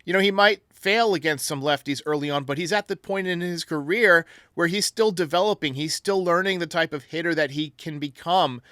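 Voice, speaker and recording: A man's voice: on the high side, fairly quick, few pauses, fairly bright tone, no room sound, clean studio sound. A man hosting a podcast, answering fan voicemails with emphatic, opinionated sports talk. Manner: emphatic, argumentative.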